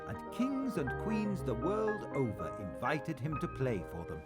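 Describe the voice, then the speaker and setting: A man's voice: medium pitch, wildly swooping pitch, measured pace. Man narrating a storybook over music.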